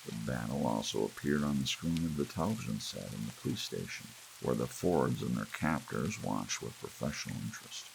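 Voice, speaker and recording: A man with a deep, gravelly voice narrates a story in ASMR audiobook style. His intonation moves widely, and soft rain hisses behind him. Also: fairly quick, few pauses, low-quality recording. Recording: steady rain and hiss behind.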